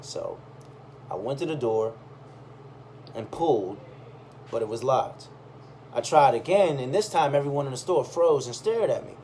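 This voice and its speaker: A man reading a first-person essay aloud in an expressive, conversational storytelling way, with dramatic pauses and emphasis. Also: mid-range voice, a little hiss or hum.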